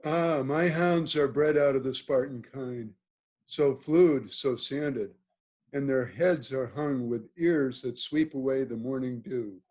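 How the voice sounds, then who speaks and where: A man's voice: medium pitch, slow pace, a little mellow. A man playing a noble, commanding duke in a Shakespeare table read, speaking formal, declamatory verse. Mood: stately. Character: noble duke, commanding and at ease, ordering his hunt. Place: video call.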